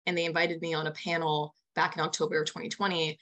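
Chatty young American woman, lowish pitch. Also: little pitch movement, quick pace, fairly bright tone, low-quality recording. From United States. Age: early 20s. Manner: enthusiastic, chatty, frequent "like". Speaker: interview guest, young nonprofit founder. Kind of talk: casual spoken interview answers.

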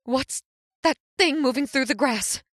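A woman's voice: high-pitched, unhurried, no echo, clean studio sound. A woman playing a terrified pleading woman. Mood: frightened. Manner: pleading, breathless cries. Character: frightened young woman in danger.

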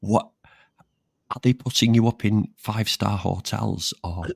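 A man's voice: lowish voice, measured pace, vocal fry, slightly rough. An older man hosting a casual livestream chat over a video call, rambling with frequent restarts. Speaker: online vegan channel host.

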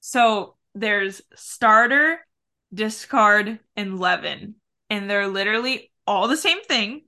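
A woman's voice: mid-range voice, animated, takes it slowly, pushed and bright. A woman hosting a podcast, chatting and telling stories with frequent laughter. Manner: chatty, giggly storyteller. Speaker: podcast host. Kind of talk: casual podcast chat.

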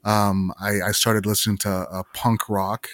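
Canadian guy guesting on a podcast, lowish voice, dry close sound, lots of ums. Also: unhurried, dry recording. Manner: relaxed storytelling with many fillers and restarts. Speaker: guest, video director. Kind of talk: casual interview chat.

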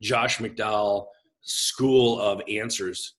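Expressive big-voiced man in his forties. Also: on the low side. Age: 40s. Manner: expressive, gregarious, halting with self-interruptions. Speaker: guest, pastor. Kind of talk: reflective personal storytelling in conversation.

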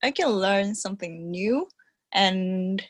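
A Japanese woman speaking English with a Japanese accent as a podcast guest, halting, giggly, with many fillers. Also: medium pitch, lively intonation, no echo, studio quality. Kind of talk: casual interview chat.

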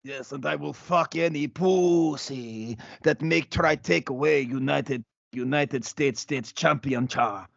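Crude russian wrestler, super gravelly and slow, broken english, swears and repeats himself. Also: male voice, medium pitch, fairly bright tone, no echo, lo-fi sound, exaggerated voice. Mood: belligerent. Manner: halting, repetitive, profane. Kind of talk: comedic audio play acting.

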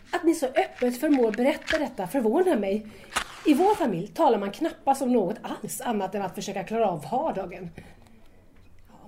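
Actress as mellow, earnest young woman. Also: mid-range voice, a little hiss or hum, natural voice. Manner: polite, formal, earnest. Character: earnest, polite young woman, worried shopkeeper's daughter. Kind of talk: audio drama dialogue.

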